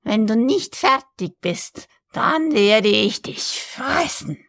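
A cackling old witch, cruel and greedy, her shrill voice leaping up and down, as a man voices her. She drags out her words with a gravelly edge. She sounds set back from the mic. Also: animated, takes it slowly, a bit bright, no room sound, exaggerated voice. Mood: menacing.

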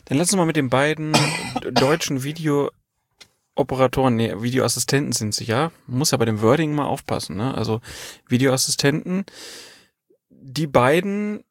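A man's voice: mid-range voice, normal speed, no room sound, studio quality. A man hosting a casual football podcast, asking questions in a colloquial way and thinking aloud as he goes. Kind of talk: casual conversational interview.

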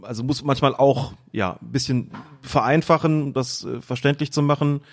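A man's voice: medium pitch, moderate pace. German man explaining football rules. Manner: explanatory, hesitant with fillers. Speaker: referee rules expert guest. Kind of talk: casual podcast chat.

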